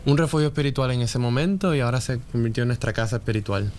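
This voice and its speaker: A church elder with a Puerto Rican accent speaks in an earnest, calm way. His voice is mid-pitched, with faint noise in the background. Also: fast. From Puerto Rico. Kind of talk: casual testimony.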